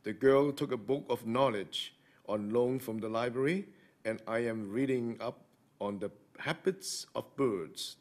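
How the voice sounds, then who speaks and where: An older man recites poetry in a meeting room. His voice is average in pitch and his reading is slow. He speaks into a podium microphone. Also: darkish tone. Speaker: amateur reader in a reading-aloud group. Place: library meeting room, indoors.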